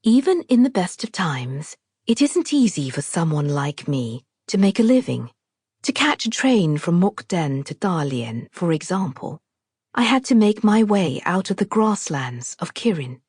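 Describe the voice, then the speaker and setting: A woman reading a novel aloud as a scripted literary narration, in a measured, deliberate, expressive storytelling manner. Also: fairly low pitch, husky, no room sound, clean studio sound. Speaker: author narrating her own audiobook.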